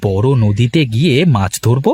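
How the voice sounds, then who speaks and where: A man voicing an excited, boastful village fisherman in a cartoon folk tale, coaxing and secretive. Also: medium pitch, normal speed, slightly rough. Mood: reassuring, proud. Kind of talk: cartoon moral-tale dialogue.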